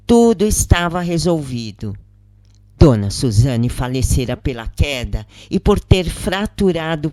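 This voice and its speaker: A woman with a Brazilian accent narrating a first-person story in a measured, expressive, dramatic audiobook style. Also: extremely low pitch, dry recording. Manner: measured, expressive, acts out quoted lines. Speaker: narrator of a spiritist account.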